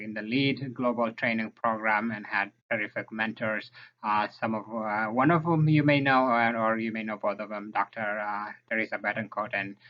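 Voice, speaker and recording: A man with an East African accent giving an informal slide presentation as a researcher, speaking haltingly with frequent hesitations and restarts. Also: fairly low pitch. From South Sudan, grew up in Ethiopia and Kenya. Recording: dull, muffled lo-fi audio.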